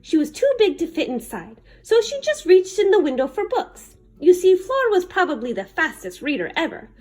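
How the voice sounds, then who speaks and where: A woman reading a children's picture book aloud in an expressive, dramatic manner. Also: very high-pitched, moderate pace, soft background music. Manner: animated, dramatic. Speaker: children's story reader.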